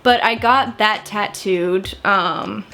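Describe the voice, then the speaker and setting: A woman's voice: medium pitch, slow pace, sharp tone. An American woman in her twenties chatting casually to camera, rambling and often correcting herself. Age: 20s. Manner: chatty, rambling, frequent self-corrections and small laughs. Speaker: vlogger.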